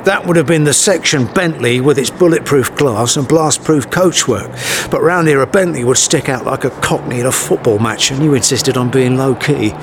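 Male actor for audio drama: touchy British agent, sardonic, blunt, slightly deep-voiced, noisy surroundings. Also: moderate pace, close to an everyday voice. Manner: blunt, sardonic, grudgingly deferential. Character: touchy, cynical British secret agent guarding his boss.

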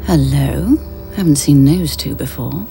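Observer: low, mellow, businesslike, watchful, audio drama with faint music. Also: female voice, measured pace, gravelly. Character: watchful, businesslike woman observer reporting sightings.

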